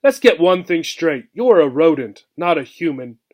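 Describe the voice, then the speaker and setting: A man acts out an old storybook mouse with a gruff, curt way of talking. He is stern, scornful and impatient, bossing the young ones about in a raised pitch. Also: big pitch swings, moderate pace, a little mellow.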